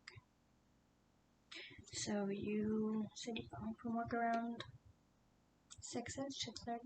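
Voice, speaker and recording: A woman voicing a calm, patient female police detective, whispering softly and asking careful, soothing questions in an ASMR roleplay. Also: slow. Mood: questioning. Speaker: interrogator. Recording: steady low rumbling noise.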